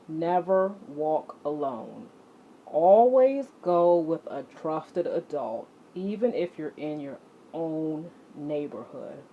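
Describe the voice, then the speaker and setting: A woman leads a lesson for children at a very unhurried pace. She addresses her students directly, with deliberate emphasis on her instructions. The audio is dull, with noticeable noise behind her. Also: low-pitched. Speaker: teacher and exercise leader. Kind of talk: instructional lesson to children, read-along with warm-up exercises. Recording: muffled audio with clear background noise.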